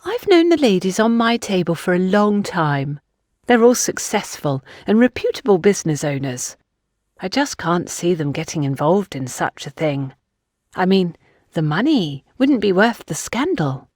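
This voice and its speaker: Gossipy older society matron played by a female narrator, emphatic and insistent; animated pitch, dry audiobook sound. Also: normal speed, dry recording. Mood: gossipy, suspicious. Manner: emphatic, chatty, dramatic.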